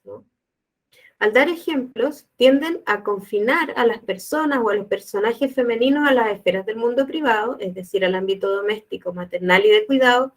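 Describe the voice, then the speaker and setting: A woman giving a calm, explanatory educational talk to teachers and addressing viewers directly. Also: mid-range voice. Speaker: teacher trainer. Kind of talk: educational presentation.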